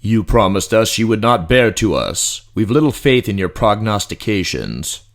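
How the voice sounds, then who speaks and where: A man's voice: lowish voice, big pitch swings, normal speed. A man voicing a pompous, commanding emperor with a short temper in a fantasy audiobook drama. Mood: cold, imperious.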